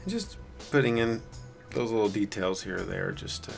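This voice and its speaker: Hoarse, raspy male game host, low gravelly voice, rambling dry humor, light music under. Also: normal speed. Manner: rambling, self-deprecating, dry sarcasm. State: hoarse from singing the night before. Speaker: gameplay video host. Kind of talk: casual gameplay commentary.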